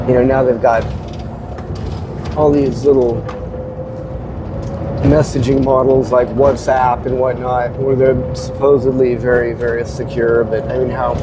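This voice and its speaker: A man's voice: medium pitch, background noise. A man hosting a casual talk show, rambling with hesitant restarts, his voice stuffy from a head cold. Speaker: host. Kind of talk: casual spoken commentary. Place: car.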